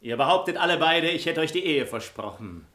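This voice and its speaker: An actor playing a glib, ardent noble seducer in a theatrical 1950s German radio drama. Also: male voice, a little high, expressive, fairly quick, bright, forceful tone. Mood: evasive, glib. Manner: theatrical, wooing, flowery speech.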